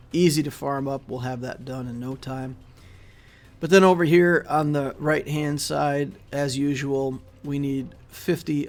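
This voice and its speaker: A middle-aged man hosting his game channel, giving relaxed, casual commentary over his gameplay with plenty of fillers, recorded at his desk indoors. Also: mid-range voice. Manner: relaxed, steady walkthrough with frequent fillers and trailing off. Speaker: mobile game channel host. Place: small room indoors.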